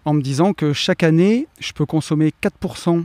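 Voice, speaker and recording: A man in his late thirties with a voice pitched a little above most men's, speaking as an interview guest about his own path. He talks in a relaxed, affirming way with frequent fillers, recorded dry and close. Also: fairly quick, no room sound. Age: 30s. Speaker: guest investor. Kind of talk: casual conversational interview.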